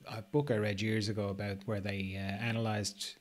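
A man in his sixties hosting a casual interview over a video call, talking in a halting, conversational way. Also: lowish voice, level tone. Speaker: podcast host.

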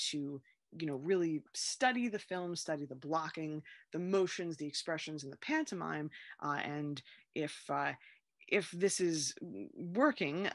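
A woman's voice: deep voice, a bit bright, no echo. Young woman, dry academic talk. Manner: hesitant, many fillers and restarts. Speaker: film scholar presenting on a panel. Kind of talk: academic talk on a video call. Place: home room with bookshelves. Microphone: webcam on a video call.

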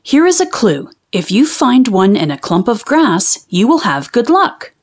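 A woman voicing a cheerful, playful young boy in a children's storybook, with an excited, singsong delivery. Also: a little high, unhurried, full character voice.

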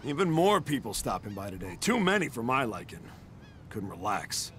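An actor playing a wary, grumbling pirate sidekick with a dry, skeptical manner in a video game. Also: male voice, medium pitch, big pitch swings, moderate pace, fairly bright tone, a little hiss or hum. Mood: dry, weary. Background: music.

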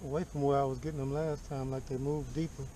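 A man's voice: medium pitch, level tone, quick pace, dark tone, a little hiss or hum. A man chatting to the camera and to the fish from a small boat on a lake, picked up by an action camera. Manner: chatty, talks to fish and viewers. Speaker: fishing vlogger. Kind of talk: casual talk to camera while fishing.

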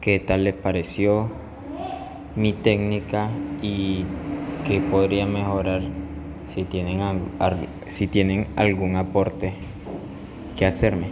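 A man with a low voice explains a technique step by step, as a barber would in a tutorial. His delivery stays flat and even. The recording rings with room echo and carries clear background noise. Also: slow pace. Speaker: barber teaching a haircut tutorial. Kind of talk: instructional voice-over tutorial.

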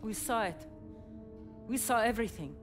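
A tough female soldier, played by an actress in a dramatic game cutscene, with a high, animated voice. She is blunt, urgent and outraged, over a clear musical score. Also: normal speed, music in the background, lightly acted.

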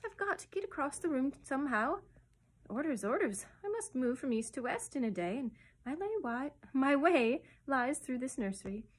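A woman voicing a gentle, busy sunbeam in a storybook, polite and apologetic in manner. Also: high voice, animated, breathy edge, pitched above the performer's own voice. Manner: polite, hurried, fond. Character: gentle, apologetic, busy sunlight that loves praise.

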